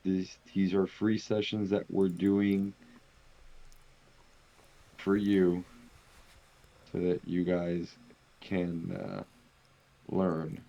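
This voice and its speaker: A man co-hosting a live trading stream, urging viewers to ask questions in a slow, coaxing way. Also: deep voice, flat delivery, creaky, warm tone, muffled recording. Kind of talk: casual live trading lesson.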